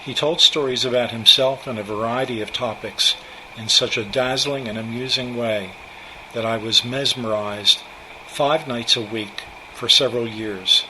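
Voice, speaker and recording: A man's voice: medium pitch, measured pace, poor audio. A man in his seventies with an American accent, reading a scripted personal narration. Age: 70s. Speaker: narrator, old-time radio enthusiast. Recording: steady hum of room noise.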